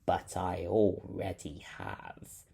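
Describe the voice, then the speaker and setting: A man voicing a masked male creature with a slow, polite but menacing drawl. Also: deep voice, fairly even intonation, vocal fry, warm tone, husky, exaggerated voice.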